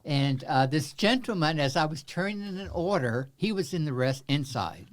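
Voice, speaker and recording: A man in his seventies from Central Texas, a guest telling his life story in an interview, halting with many fillers, with a wry humor. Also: on the high side, animated.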